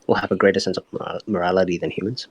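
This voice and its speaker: A man in an online voice chat, asking questions haltingly, searching for words with fillers. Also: deep voice, little pitch movement, vocal fry, slightly rough, no room sound, lo-fi sound. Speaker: chat room participant.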